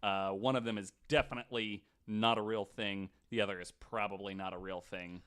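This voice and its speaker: A man co-hosting a podcast, telling a story casually in a skeptical, wry, somewhat halting way. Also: lowish voice, fairly even intonation, a bit bright, clean tone. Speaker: podcast co-host.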